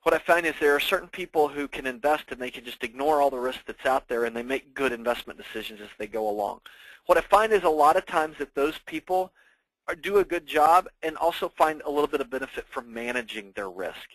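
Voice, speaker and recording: A man's voice: fairly high pitch, expressive, brisk, dry recording, low-quality recording. A man presenting to investors as a financial planner, explaining things informally and conversationally with everyday examples.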